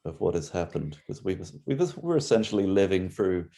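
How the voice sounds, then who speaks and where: A man with a very low, dark and mellow voice hosts a relaxed podcast chat. He rambles a little and laughs easily as he talks. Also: moderate pace. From England. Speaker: podcast host. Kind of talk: casual video-call interview.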